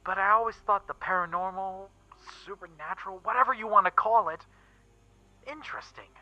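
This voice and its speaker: A man playing a shaken young witness recounting an occult horror in a first-person story reading, halting and emphatic, with stammers and repeats. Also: high-pitched, no room sound, close to an everyday voice. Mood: agitated, distressed. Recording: muffled recording with background music. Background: eerie background music.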